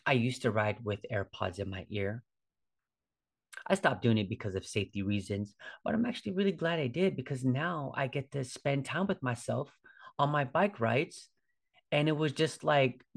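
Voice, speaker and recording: A man's voice: mid-range voice, vocal fry. A man in his forties talking casually as a podcast host, informal and conversational, often checking in with a "right?". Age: 40s. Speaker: podcast host, fitness trainer. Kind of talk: casual solo podcast talk.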